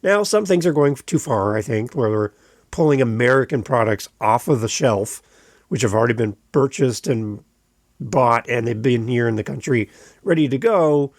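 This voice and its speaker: A man's voice: mid-range voice, lively intonation, moderate pace, no echo. Canadian male podcast host, chatty and rambling, dry close-mic sound, mid-range voice. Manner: chatty and rambling, with light humor. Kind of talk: solo podcast monologue.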